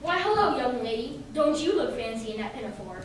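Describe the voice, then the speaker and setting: A woman's voice: fairly high pitch, very animated, brisk. A woman playing an earnest male police detective in old-time radio drama style. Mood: gentle, polite. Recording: very echoey hall, distant mic, faint noise.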